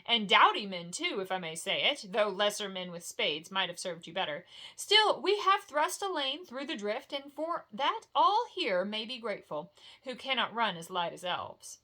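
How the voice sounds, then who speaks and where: A woman's voice: medium pitch, sing-song, moderate pace, bright, forceful tone. A woman voicing a proud, weary but hearty male warrior in a storybook, with formal, old-fashioned speech and a confident, reassuring manner.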